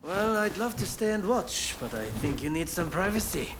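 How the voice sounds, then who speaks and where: Male swordsman, wry, unhurried, teasing, thoughtful, fairly high, varied pitch, game cutscene, faint ambient noise. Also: lively intonation. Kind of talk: video game cutscene acting.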